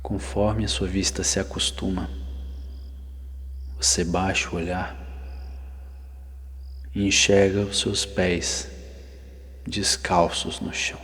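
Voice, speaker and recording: Slow, deep Brazilian man in long reverb. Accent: Brazilian Portuguese. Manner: calm, instructing, with long pauses. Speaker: guided meditation narrator. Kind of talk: ASMR guided visualization. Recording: long reverberant hall-like echo.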